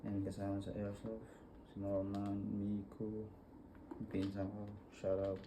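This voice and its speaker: A Filipino man with a fairly low voice walks through a task in a casual tutorial style. He talks very slowly and informally, in halting bits between steps. There is clear noise in the recording. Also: completely flat delivery. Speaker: vlogger demonstrating a product installation. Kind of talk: casual hands-on tutorial, talking while working.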